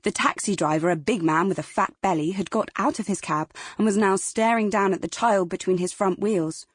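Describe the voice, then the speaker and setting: A woman's voice: medium pitch, brisk, slightly rough, dry recording, studio quality. A woman with a British accent narrating dramatic fiction as a scripted audiobook.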